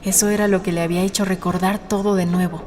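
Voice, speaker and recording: A woman reading a novel as an audiobook narrator, in a measured, suspenseful storytelling manner. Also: lowish voice, level tone, darkish tone. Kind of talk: scripted dramatic narration of a novel. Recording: studio narration over a music bed. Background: background music.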